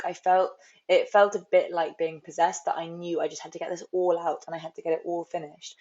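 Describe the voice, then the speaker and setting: A young woman with a London accent talks through her writing life in an unhurried, reflective way, circling back and restarting her thoughts. Her voice sits on the lower side, and the recording is a little dull and lo-fi. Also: a little mellow. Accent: London English. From London, England. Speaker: author guest. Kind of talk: reflective interview talk. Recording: slightly dull, lo-fi audio.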